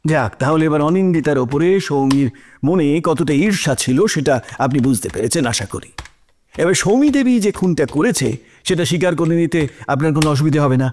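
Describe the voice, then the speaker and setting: A man's voice: on the high side, lively intonation, brisk, a little mellow, a little hiss or hum, close to an everyday voice. A man playing an impatient, indignant prosecuting lawyer in an audio drama, sarcastic and hectoring. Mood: smug, pressing. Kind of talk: audio drama courtroom acting.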